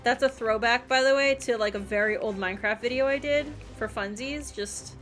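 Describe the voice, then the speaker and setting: High-pitched woman streaming a game. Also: moderate pace, fairly bright tone, faint music behind. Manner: muttering reactions and self-questioning to herself. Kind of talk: casual livestream gameplay commentary.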